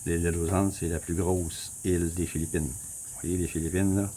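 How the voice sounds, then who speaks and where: A man with a Québécois accent, casually walking viewers through a map on his screen, rambling, repeating himself and clearing his throat often. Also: low voice, flat delivery, moderate pace, mellow, a little hiss or hum. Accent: Québécois French. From Quebec. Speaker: vlogger. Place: home in the Philippines.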